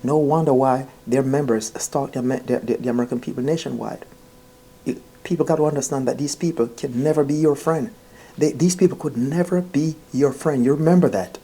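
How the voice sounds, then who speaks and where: Mellow-voiced man, earnest storyteller. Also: mid-range voice, brisk, slightly rough. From Jamaica. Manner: earnest, emphatic, repeats phrases, often addresses listeners directly. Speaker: vlogger. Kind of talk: informal personal storytelling to viewers.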